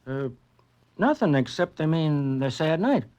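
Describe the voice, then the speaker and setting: A helpful, easygoing newspaper clerk. His voice sits fairly high for a man, and he talks in an offhand, folksy way in 1940s radio style. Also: big pitch swings, a little slow, darkish tone. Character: casual, helpful male newspaper archive clerk. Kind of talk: 1940s radio drama acting.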